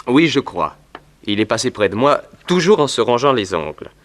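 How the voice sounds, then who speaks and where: An actor playing an earnest, polite veterinarian who gives deliberate, orderly testimony in a 1960s French radio drama. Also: male voice, medium pitch, big pitch swings, lightly acted. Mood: earnest, helpful. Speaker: actor in a detective radio serial.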